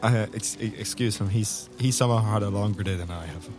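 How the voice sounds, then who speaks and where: A man's voice: lowish voice, fairly quick, music in the background, close to an everyday voice. A man playing a blunt, probing investigator in improvised tabletop roleplay, pressing with repeated questions. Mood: polite, probing.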